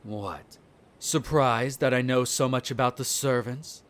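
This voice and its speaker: A man's voice: mid-range voice, unhurried, close to an everyday voice. A man playing a smug, cruel vampire noble in an audio roleplay, speaking in a haughty, condescending, formal drawl. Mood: haughty, musing. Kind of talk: scripted audio roleplay acting.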